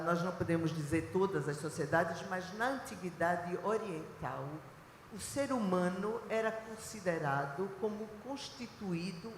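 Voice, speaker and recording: A woman from Recife with a Recife accent, speaking on a panel in a deliberate, lecturing way with frequent filler pauses. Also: mid-range voice, slight background noise. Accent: Recife, Brazilian Portuguese. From Recife, Brazil. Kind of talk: panel talk. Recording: echoey hall recording.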